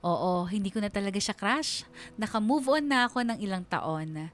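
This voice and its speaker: A woman's voice: medium pitch, animated, normal speed, soft background music, natural voice. An actress playing a smitten young woman narrating her own love story for a radio drama, confiding and giddy.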